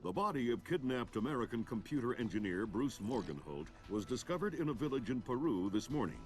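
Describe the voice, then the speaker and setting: Man as a lively-toned news anchor over music. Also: medium pitch, brisk, natural voice. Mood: formal. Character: polished male television news anchor, grave and formal. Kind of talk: scripted news report in a video game.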